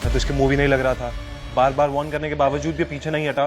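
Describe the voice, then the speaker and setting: A man playing a proud young man on a date, protective and quick to anger, in a Hindi audio drama. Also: mid-range voice, flat delivery, music in the background, close to an everyday voice. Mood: indignant.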